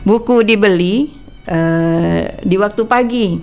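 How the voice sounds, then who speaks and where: A woman co-hosting a radio chat, trading rhymed verses with her co-host, laughing often and pausing on fillers. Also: medium pitch, a little mellow, faint music behind.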